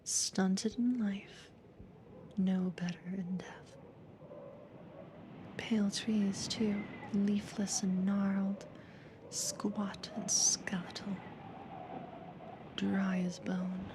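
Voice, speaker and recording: Slow, whispering woman as a creepy hypnotist therapist. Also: medium pitch, noisy background. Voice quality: breathy, whispered. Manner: slow, deliberate, soothing yet ominous. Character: female therapist hypnotist, calm, creepy, quietly controlling. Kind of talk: whispered ASMR hypnosis roleplay.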